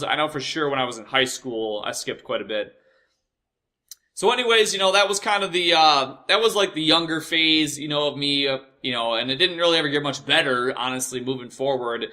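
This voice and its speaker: A man's voice: medium pitch, sharp tone. A young American man telling personal stories in a casual, rambling way, swearing freely and often doubling back to correct himself. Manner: profane, repetitive, halting and self-correcting. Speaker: host of a personal storytelling show.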